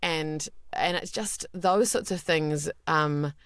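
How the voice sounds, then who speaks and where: A woman with a naturally deep, low voice answers questions as a guest voice actor. She talks openly and thoughtfully, often restarting her sentences. The sound is dry and close. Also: slow pace, fairly bright tone, clean tone, no echo. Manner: candid and reflective, with many fillers and restarts. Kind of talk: podcast interview.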